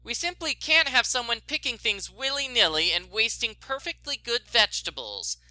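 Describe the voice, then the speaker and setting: A prim older ranch lady, played by a man, pitched high and bright. She scolds with polite firmness, as in a storybook reading. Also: very animated, moderate pace, dry recording, full character voice, low-quality recording. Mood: reprimanding. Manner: prim, reprimanding.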